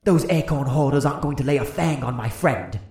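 A man's voice: medium pitch, a little fast, darkish tone, roomy sound, natural voice. Big defiant male dragon voiced by a man, growling, protective, fantasy audiobook. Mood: fierce.

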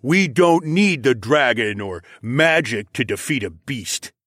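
A man's voice: mid-range voice, lively intonation, takes it slowly, a bit bright, breathy edge, no room sound, studio quality, voice pushed up, exaggerated voice. A man voicing a protective, stubborn male werewolf pack leader in an audiobook, hesitant and placating in manner. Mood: defensive, insistent. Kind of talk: audiobook character dialogue.

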